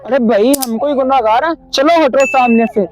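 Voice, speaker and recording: A man playing an eager, gullible, easily offended villager in a cartoon story, exclaiming loudly. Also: extremely high pitch, moderate pace, background noise. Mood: eager, indignant.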